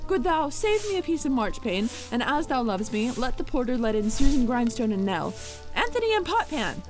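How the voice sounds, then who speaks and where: A woman's voice: fairly high pitch, sing-song, normal speed, soft background music. A woman playing a bossy, harried household servant in a Shakespearean audio play.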